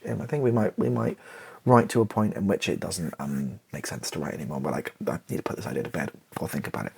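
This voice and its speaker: Low, husky, creaky British man. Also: darkish tone. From Britain. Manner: hesitant, thinking aloud. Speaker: YouTuber and theatre writer. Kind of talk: rambling, casual talk to the listener in an ASMR video.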